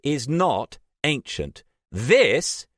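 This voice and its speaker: A man's voice: mid-range voice, sing-song, measured pace, a bit bright, no room sound, studio quality, voice pushed up. A man voicing a driven woman archaeologist who speaks firmly and insistently. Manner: firm, terse and insistent.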